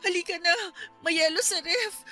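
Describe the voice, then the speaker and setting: A woman's voice: extremely high pitch, normal speed, pushed and bright, soft background music. A woman playing a long-suffering young mother in an emotional radio drama, earnest and pleading with her partner. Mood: anxious pleading.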